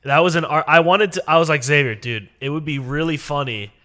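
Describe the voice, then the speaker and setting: Young man with a bright, clear voice. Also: on the high side, brisk. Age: 20s. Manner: relaxed, chuckling, trails off softly. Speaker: podcast guest. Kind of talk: casual conversation.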